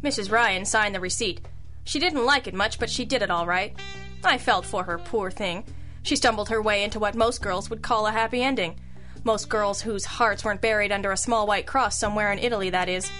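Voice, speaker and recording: A woman's voice: medium pitch, fairly quick, pushed and bright, faint music behind, lightly acted. A woman playing a wry, tough girl detective in an old-time noir radio drama, sardonic and knowing. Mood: wry narrating.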